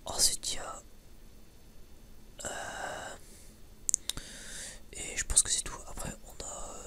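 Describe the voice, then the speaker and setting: A man's voice: takes it slowly, bright, forceful tone. A man whispering breathily in a casual, unscripted ASMR style, rambling hesitantly with many false starts. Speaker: ASMR video creator.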